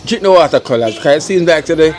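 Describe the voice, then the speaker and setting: A man's voice: on the high side, expressive, fast talker, a little mellow. A man with a Jamaican accent hosting a casual street vlog, easygoing and laughing often. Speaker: vlogger host.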